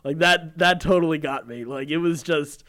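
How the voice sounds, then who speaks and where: A man's voice: fairly high pitch, moderate pace, fairly bright tone. A man co-hosting a podcast, talking casually in an earnest, effusive and rambling way. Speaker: podcast co-host. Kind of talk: casual pop-culture discussion.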